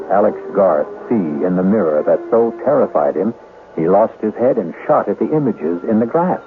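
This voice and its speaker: A man hosting a 1970s radio mystery, narrating in a theatrical, deliberate, dramatic manner. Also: deep voice. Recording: thin, tinny 1970s radio broadcast.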